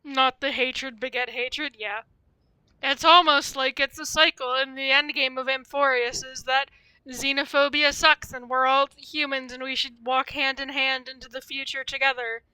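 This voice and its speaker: A woman's voice: high-pitched, fairly even intonation, normal speed, pushed and bright, smooth, no room sound, poor audio. A young woman streaming a game, chatting casually and rambling through asides as she comments.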